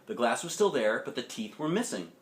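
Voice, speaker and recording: A man's voice: mid-range voice, very animated, fairly quick. A man reading a children's story aloud as a dad would, expressively, with chatty asides to young listeners. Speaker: father reading a picture book aloud. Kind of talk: storybook narration with casual asides.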